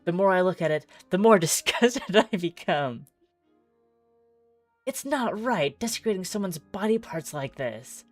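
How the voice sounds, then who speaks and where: A woman's voice: fairly low pitch, expressive, unhurried, dry recording, faint music behind. A woman streamer doing chatty game playthrough commentary, reading text aloud and musing as she goes.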